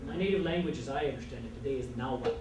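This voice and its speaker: A man whose first language is Spanish, from El Paso, giving an earnest classroom lecture as a college writing teacher, pausing and restarting often. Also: medium pitch. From El Paso, Texas. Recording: echoey classroom from far off, noisy.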